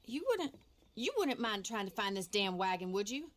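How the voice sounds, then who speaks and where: A woman's voice: medium pitch, big pitch swings, normal speed, lightly acted. An actress playing a prim, sardonic lady ranch owner, polite and pedantic, in a video game. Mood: pleading. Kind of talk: video game character acting.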